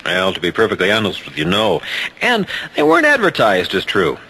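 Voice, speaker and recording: A man's voice: on the low side, wildly swooping pitch, brisk, creaky, sharp tone, breathy edge. A man hosting a radio show, reading scripted narration. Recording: noisy off-air radio tape.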